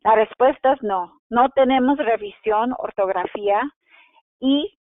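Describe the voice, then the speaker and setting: A woman presents a webinar, reading each audience question and then explaining the answer at an even, measured pace. She now and then restarts a phrase. Her voice comes through a narrow, tinny phone-like channel that is completely dry. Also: a little high, no room sound. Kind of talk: translated webinar Q&A, read from script.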